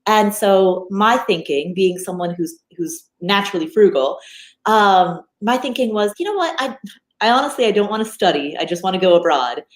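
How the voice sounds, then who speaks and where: A woman's voice: mid-range voice, normal speed. A woman in her thirties, a finance podcaster from Nepal raised in the United States, talking animatedly as an interview guest with many restarts. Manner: animated, frequent restarts and fillers. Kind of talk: conversational interview. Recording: echoey room.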